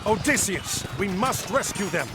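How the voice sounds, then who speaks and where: An actor playing a proud, resolute Atlantean admiral in a video game, speaking in a firm, commanding way. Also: male voice, high voice, lively intonation, unhurried, loud music behind the voice. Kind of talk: video game cutscene acting.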